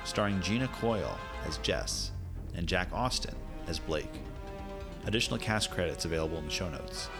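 A man's voice: low voice, very animated, moderate pace, creaky. A man working as an announcer, reading scripted production credits for an audio fiction podcast. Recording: music bed behind voice.

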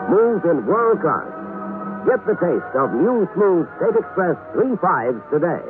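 Old-time radio ad guy, kinda high voice, really lively, tinny with music behind. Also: measured pace. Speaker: advert announcer. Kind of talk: 1960s radio cigarette commercial read.

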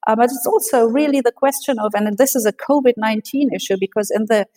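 Woman, interview guest, analytical, measured, hesitant restarts. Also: on the high side, dark tone. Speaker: policy expert guest. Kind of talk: interview answers.

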